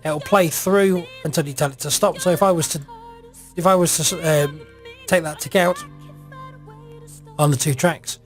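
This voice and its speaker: A man with a moderately high voice gives an unscripted software demo. He thinks aloud as he goes, hesitant and informal in his delivery. Faint music sits behind his voice. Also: fairly even intonation, moderate pace, pauses often. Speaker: software reviewer. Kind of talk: informal screencast software walkthrough.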